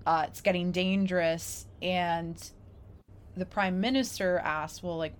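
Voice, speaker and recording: A woman in casual, reflective conversation, telling stories in an animated way and acting out imagined quotes. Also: fairly low pitch, measured pace, a little hiss or hum.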